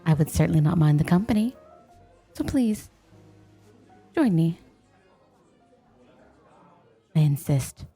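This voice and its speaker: Mellow, teasing snow maiden played by a woman. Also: low voice, lively intonation, moderate pace, husky, faint music behind, exaggerated voice. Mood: teasing, coaxing. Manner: coy, teasing, coaxing, addressing the listener with pet names. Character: playful, teasing, possessive snow maiden spirit posing as a young woman. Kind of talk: ASMR roleplay, scripted, intimate one-sided dialogue.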